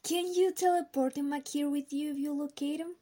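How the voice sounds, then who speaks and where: Woman acting sweet, very high-voiced young woman. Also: fairly even intonation, fairly quick, dark tone, natural voice. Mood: curious. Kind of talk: audio drama acting.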